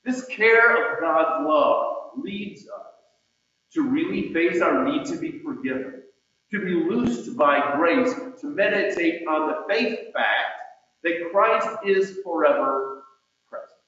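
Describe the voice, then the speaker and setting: A man preaches in a slightly high-pitched voice, taking his time over each phrase. His delivery is measured and emphatic, with deliberate pauses. The sound is heavily reverberant, as in a large hall. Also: animated, fairly bright tone. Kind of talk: sermon with scripture and quoted readings. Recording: muffled, very echoey hall with long reverb.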